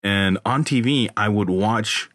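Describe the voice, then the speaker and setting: A man's voice: on the low side, measured pace, a bit bright, no echo, clean studio sound. A man in his forties who grew up in Honduras, a podcast guest chatting in a thoughtful way. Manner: thoughtful, many fillers and restarts. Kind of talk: casual chat.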